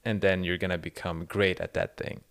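Thoughtful low-voiced man musing aloud. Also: level tone, fairly quick, fairly bright tone. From second-generation immigrant. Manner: thoughtful, searching, restarts often. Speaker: podcast co-host. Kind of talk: casual chat.

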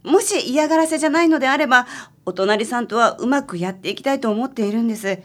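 A woman's voice: a little high, lively intonation, fast. A woman playing a worried married client in a radio drama, speaking politely, hesitantly and apologetically. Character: timid, worried married woman seeking detective's help.

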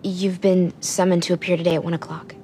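A woman's voice: on the low side, level tone, brisk, mellow, a little hiss or hum, close to an everyday voice. An actress playing a serious, businesslike female colleague in a TV legal drama.

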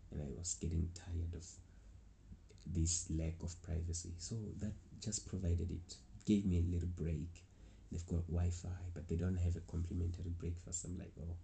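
A man's voice: low voice, vocal fry, dark tone, a little hiss or hum, rough recording. A young man from South Africa with a South African accent, telling a rambling travel story in a casual way. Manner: rambling, restarts often, chuckles. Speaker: travel vlogger.

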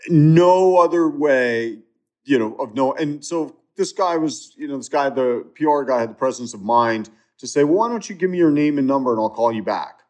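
Man telling a story, casual and animated. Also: mid-range voice, normal speed. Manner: conversational, many fillers and restarts, animated retelling. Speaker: interview guest.

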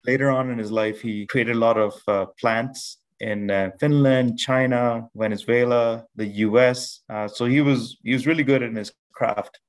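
A man from Pakistan in his thirties, a podcast guest telling his life story in a measured way with many restarts. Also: medium pitch, fairly even intonation. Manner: measured, with frequent restarts and fillers. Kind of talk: reflective storytelling interview.

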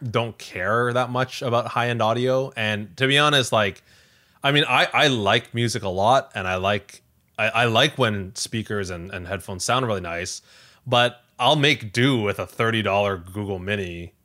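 A man's voice: lowish voice, moderate pace, pushed and bright. A man co-hosting a casual tech podcast, talking in an emphatic, conversational way. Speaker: podcast co-host.

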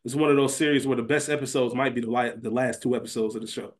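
A man's voice: mid-range voice, steady tone, quick pace. A man hosting a podcast, chatting casually about television in an informal, slangy, conversational way. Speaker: podcast host.

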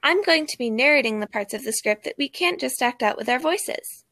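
A woman's voice: sits high, expressive, fairly quick, pushed and bright, no echo. A woman doing scripted narration for an amateur audio play.

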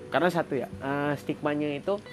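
A man's voice: on the high side, brisk, over loud music. Young Indonesian man, slangy podcast chat. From Papua. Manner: slangy, animated when mimicking accents. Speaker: podcast co-host. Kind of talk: casual chat.

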